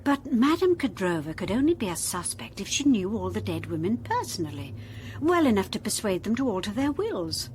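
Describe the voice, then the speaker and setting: A woman acting in a British radio drama as a sharp, inquisitive helper in an investigation. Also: medium pitch, big pitch swings, brisk, a little hiss or hum, lightly acted.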